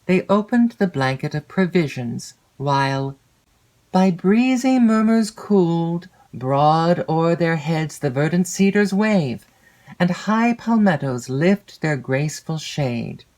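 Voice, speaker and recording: A woman reading a classic literary novel as an audiobook narrator, measured and expressive, lingering over descriptive phrases. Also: lowish voice.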